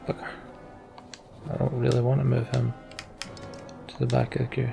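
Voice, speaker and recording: A man's voice: medium pitch, completely flat delivery, normal speed, gravelly, over music. A man giving casual, informal gameplay commentary, half talking to himself with sweary, sardonic asides. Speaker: let's play host.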